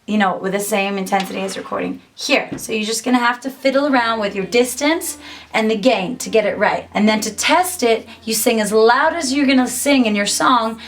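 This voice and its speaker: A woman's voice: fairly high pitch, a little fast, a little hiss or hum. A young woman giving a casual, upbeat tutorial to camera from a small home music studio, chatty and full of "like". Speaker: musician and YouTuber.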